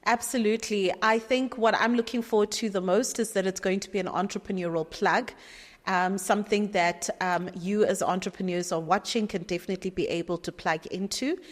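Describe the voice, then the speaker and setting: A woman's voice: medium pitch, steady tone, fairly quick, long reverb tail. A woman hosting a business podcast, upbeat and encouraging, with frequent short affirmations. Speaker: podcast host. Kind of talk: hosted interview.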